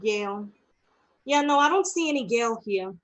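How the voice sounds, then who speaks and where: A woman's voice: fairly high pitch, lively intonation, moderate pace, fairly bright tone, low-quality recording. A woman voicing a bored, dismissive receptionist with a drawling delivery. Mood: snide. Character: bored, snide female receptionist.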